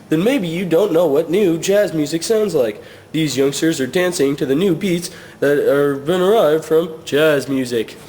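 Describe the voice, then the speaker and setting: A man playing a 1920s newsman and interviewer in an amateur mock broadcast, presenting in a slightly halting way. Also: on the high side, a little mellow, close to an everyday voice. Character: 1920s newsman covering youth crazes and interviewing musicians. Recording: clearly noisy amateur recording.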